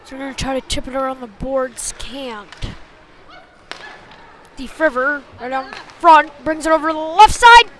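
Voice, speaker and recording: A woman's voice: high voice, normal speed, a little hiss or hum. A woman doing live play-by-play commentary of youth ice hockey in short clipped phrases. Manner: short clipped phrases, excited on big plays.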